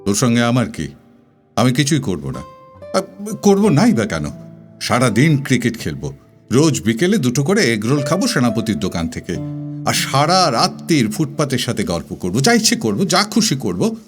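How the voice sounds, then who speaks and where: A man's voice: medium pitch, huge pitch swings, moderate pace. Male actor as whimsical lovestruck college boy, swooping intonation, Kolkata Bengali, poetic audio drama over guitar. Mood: agitated, sulky. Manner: teasing, whimsical, sulky. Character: lovestruck, flippant young male university student, evasive and wistful. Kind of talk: recited dramatic Bengali poem dialogue. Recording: studio voice over guitar music. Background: plucked guitar music.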